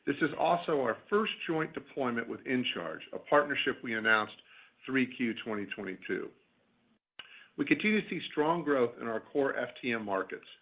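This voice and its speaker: A man, a company executive, reading a scripted earnings call presentation in a formal, measured corporate way. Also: mid-range voice, lively intonation. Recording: tinny narrowband phone conference line.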